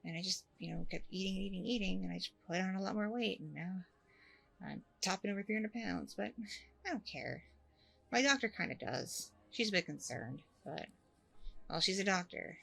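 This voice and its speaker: A woman playing a warm, chatty single working mom on a date, in a casual, rambling ASMR roleplay. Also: medium pitch, expressive, moderate pace, vocal fry, husky, heavily acted. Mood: frank, easygoing. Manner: casual, rambling, informal slangy talk. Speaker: roleplay performer.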